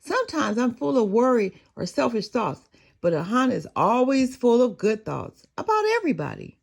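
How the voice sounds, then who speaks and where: A woman's voice: fairly high pitch, big pitch swings, normal speed, vocal fry, breathy edge, full character voice. A woman voicing an earnest, tender-hearted young schoolboy in a children's storybook, speaking in simple phrases. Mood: admiring, eager. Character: earnest, tender-hearted second-grade schoolboy telling his feelings.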